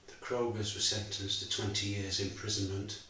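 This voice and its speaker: A man's voice: lowish voice, level tone. A man playing a grieving older father. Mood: sorrowful. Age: 60s. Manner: subdued, measured storytelling, growing quieter. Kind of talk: theatre monologue. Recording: very echoey room, mic far away, faint hiss.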